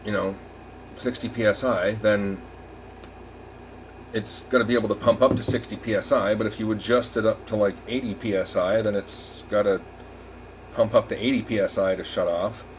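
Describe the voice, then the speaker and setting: A man's voice: fairly low pitch, fairly even intonation, moderate pace, a little hiss or hum. A man giving a casual hands-on repair demonstration, rambling and thinking aloud as he works. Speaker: RV repair technician presenting a tutorial.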